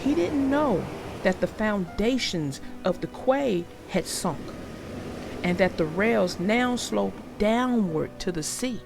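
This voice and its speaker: A woman reading a children's storybook aloud in an animated storytelling manner. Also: mid-range voice, takes it slowly, vocal fry, over music. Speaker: storybook narrator.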